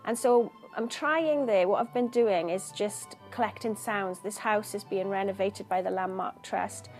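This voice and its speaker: A woman's voice: mid-range voice, measured pace, a little mellow, faint music behind. Earnest woman poet. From Wales. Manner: earnest, thoughtful, searching for words. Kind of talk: reflective interview talk.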